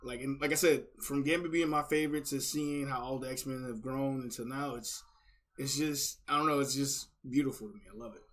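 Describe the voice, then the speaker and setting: A man's voice: medium pitch, fairly even intonation, a little fast, a bit bright. A man hosting a reaction video, giving casual, rambling fan commentary in an effusive, slangy, repetitive way.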